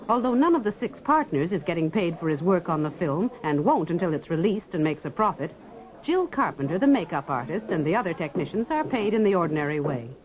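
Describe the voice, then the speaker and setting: A woman reporter giving polished, lively scripted newsreel narration and asking interview questions. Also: fairly low pitch, brisk. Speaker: reporter and presenter. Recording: dull 1960s film soundtrack.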